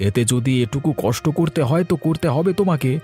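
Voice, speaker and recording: A man's voice: fairly high pitch, quick pace, mellow, soft background music, lightly acted. Man voicing a stubborn, grumbling miserly husband. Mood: defensive. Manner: defensive, complaining. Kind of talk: animated cartoon story dialogue.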